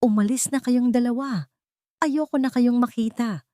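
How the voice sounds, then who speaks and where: A woman's voice: fairly high pitch, fairly even intonation, moderate pace, dark tone, dry recording, studio quality, voice pushed up, natural voice. Woman acting a wounded, proud young heroine. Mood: accusing. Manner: emotional, accusing, dramatic.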